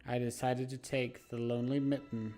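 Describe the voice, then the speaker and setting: A man live-streaming a game, reading horror narration aloud dramatically and haltingly, stumbling and correcting himself. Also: medium pitch, little pitch movement, dark tone. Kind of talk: live read-aloud of a horror visual novel with asides. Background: background music.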